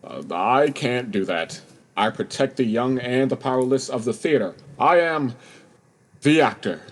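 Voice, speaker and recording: A man's voice: medium pitch, expressive, measured pace, close to an everyday voice. A man acting a gallant caped theater hero in a radio play, earnest and theatrical in manner. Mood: grand, defiant. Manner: theatrical, chivalrous, bashful when flustered.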